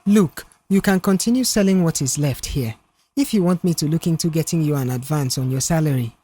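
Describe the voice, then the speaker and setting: A narrator voicing a reserved young male mechanic in an audio story, speaking in a measured, firm and stern way. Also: medium pitch, warm tone, breathy edge, close to an everyday voice. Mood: firm, sympathetic.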